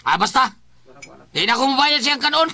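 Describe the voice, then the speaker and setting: A man as a high-voiced grumbling husband. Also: lively intonation, moderate pace. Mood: grumbling, insistent. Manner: complaining, nagging. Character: grumbling, long-suffering, suspicious husband. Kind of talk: Filipino comedy radio drama acting and narration.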